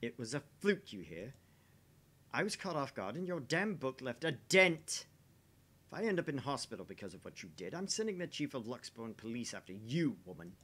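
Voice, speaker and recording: A man playing a husky, blustering touchy guy. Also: medium pitch, big pitch swings, moderate pace, fairly bright tone. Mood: indignant bluster. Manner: indignant, melodramatic. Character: blustering, touchy adult man, defensive and grumbling. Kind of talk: acted visual novel dialogue.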